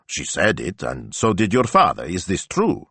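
A man's voice: low-pitched, wildly swooping pitch, moderate pace, vocal fry, pushed and bright, slightly rough, no echo, voice pushed up, heavily acted. A man voicing an awkward, well-meaning Italian father and shopkeeper in an audiobook story. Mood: businesslike.

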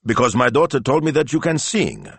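A man's voice: low voice, huge pitch swings, measured pace, gravelly, fairly bright tone, slightly rough, no room sound, pitched above the performer's own voice, exaggerated voice. A man voicing an awkward, well-meaning Italian father and shopkeeper in an audiobook story. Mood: businesslike.

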